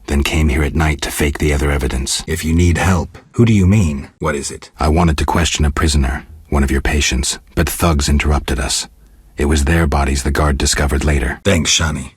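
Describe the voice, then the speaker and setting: Very deep, raspy monster hunter. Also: male voice, no echo, clean studio sound, full character voice. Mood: quiet, guarded. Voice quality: gravelly, husky. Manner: terse, deadpan, restrained. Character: stoic, weary monster-hunting witcher, adult man. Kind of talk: video game character dialogue.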